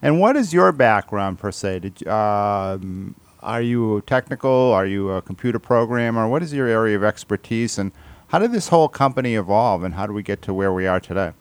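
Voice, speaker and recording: A man's voice: on the low side, expressive, normal speed, smooth, no echo. A man hosting a radio interview, asking questions in a conversational way. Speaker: radio show host, interviewer.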